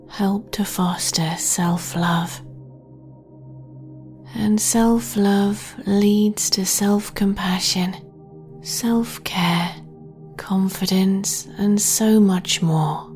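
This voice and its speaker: A woman hosting a guided sleep meditation in a soft, breathy half-whisper, gentle and soothing. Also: medium pitch, faint music behind. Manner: slow, soothing, gentle delivery.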